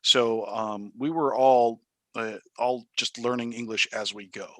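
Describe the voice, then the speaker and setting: A man's voice: mid-range voice, fairly even intonation, a little slow, dry recording. Male teacher in his thirties, from Taiwan, thoughtful and hesitant, dry close recording. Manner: thoughtful, many ums and uhs, restarts. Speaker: high school math teacher, interview guest. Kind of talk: casual interview answers.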